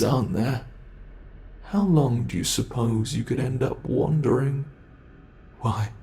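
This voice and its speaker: A man playing a sadistic monster in an ASMR horror roleplay, taunting captive prey with slow, savoring menace and a gravelly, creaky voice. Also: medium pitch, a little mellow, slight background noise. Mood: gloating. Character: sadistic male monster gloating over captive human prey.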